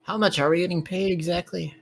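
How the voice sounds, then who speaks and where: A man playing in a tabletop roleplay, chatting casually and haltingly in and out of character. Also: high voice, steady tone, vocal fry, fairly bright tone, breathy edge, no echo, studio quality.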